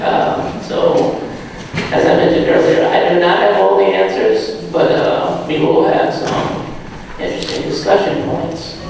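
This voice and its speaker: Slightly hoarse man lecturing in an echoey hall. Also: a little high, darkish tone, a little hiss or hum. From Providence, Rhode Island. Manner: joking, self-deprecating, many fillers. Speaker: guest speaker. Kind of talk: public lecture. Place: large hall or auditorium. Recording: echoey hall, mic from far off.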